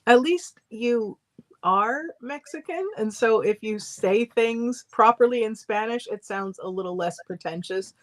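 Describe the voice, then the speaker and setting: A woman's voice: a little high, measured pace. A woman co-hosting a casual live stream, thoughtful and wry, often laughing at herself. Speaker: co-host.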